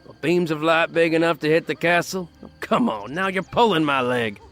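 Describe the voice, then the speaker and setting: A man's voice: on the high side, moderate pace, a bit bright, soft background music. A man playing a cheerful, talkative, folksy villager with a teasing manner in a fan audio drama.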